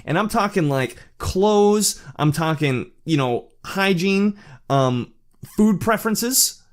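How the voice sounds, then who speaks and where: A man's voice: high voice, expressive. A man speaking in a casual personal monologue, candid and reflective, often stumbling and restarting his words. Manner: halting, with frequent stammered restarts. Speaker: vlogger sharing personal experience.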